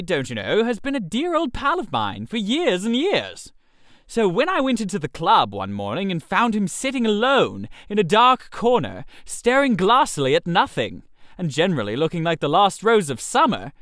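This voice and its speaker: Storybook comedy: male reader playing a posh young club idler, high-pitched, swooping intonation, breezy and slangy, dry close sound. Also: fairly bright tone, no room sound, exaggerated voice. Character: Reggie, chatty upper-class English young club idler, cheerfully dim. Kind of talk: comic first-person storybook narration.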